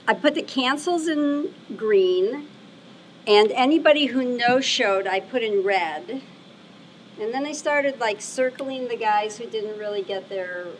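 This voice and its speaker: A woman's voice: mid-range voice, a little hiss or hum, natural voice, poor audio. A woman playing a clinic receptionist in a conversational staff training film, on an amateur recording. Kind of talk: acted workplace role-play, conversational.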